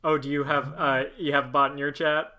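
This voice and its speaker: A young man with a fairly high, bright voice chats on a stream. He is supportive and laughs easily, stumbling over the starts of his sentences. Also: level tone, brisk. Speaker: guest co-host. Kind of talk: casual livestream chat.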